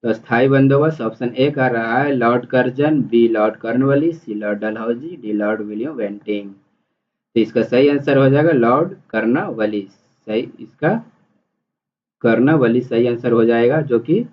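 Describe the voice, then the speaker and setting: A man's voice: medium pitch, normal speed. Muffled recording of a man teaching. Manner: instructional, repeats questions and answers. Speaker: exam-prep teacher and quiz host. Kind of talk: reading multiple-choice questions and explaining answers. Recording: dull, muffled lo-fi audio.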